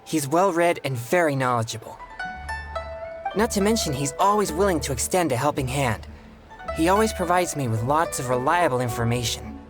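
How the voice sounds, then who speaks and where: A man voicing an earnest, polite teenage boy exorcist in a video game, with formal, measured phrasing. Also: on the high side, animated, over music, lightly acted. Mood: warm, appreciative.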